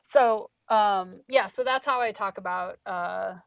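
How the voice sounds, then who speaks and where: A woman with a mid-pitched voice hosts a reflective conversation. She talks informally, as in a casual one-on-one chat. The recording is dry, with little room sound. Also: takes it slowly, vocal fry, dry recording. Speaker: conversation host.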